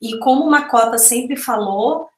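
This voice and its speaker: A woman's voice: fairly high pitch, unhurried, darkish tone. A woman giving a reflective, explanatory talk as a panel speaker on a video call, often checking in with tag questions. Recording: roomy video-call audio.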